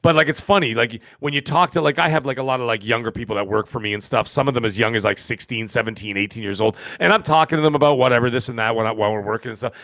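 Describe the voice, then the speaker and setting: A man in his fifties talks quickly through a casual podcast chat, rambling from one joking story to the next. His voice sits at an ordinary pitch, and the sound is dry, close and free of room echo. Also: a bit bright. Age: 50s. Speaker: podcast co-host.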